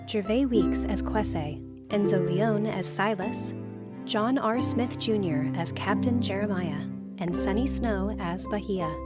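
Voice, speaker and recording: A woman announcer reading scripted closing credits for an audio drama. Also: medium pitch. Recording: loud music under voice. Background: music.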